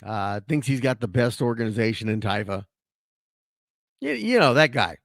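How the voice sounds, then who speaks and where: A man hosts a sports talk show in a hoarse voice, his pitch swinging up and down as he rants. He restarts his sentences often. The sound is dry and close. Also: moderate pace, no room sound. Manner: animated, ranting, with frequent restarts. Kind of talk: casual sports talk commentary.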